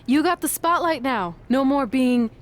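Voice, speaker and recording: A young woman wrestler in a video game, supportive but self-reliant. Her voice is a touch higher than usual and sounds natural and conversational. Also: expressive, moderate pace. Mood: friendly, firm. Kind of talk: video game cutscene acting.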